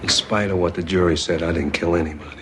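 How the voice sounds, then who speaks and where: An actor playing a wry, stubborn convict pianist in a naturalistic television drama. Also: male voice, low-pitched, steady tone, fast talker, very noisy, lightly acted. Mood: defiant. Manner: sardonic, stubborn. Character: defiant, wry male convict pianist, wrongly imprisoned.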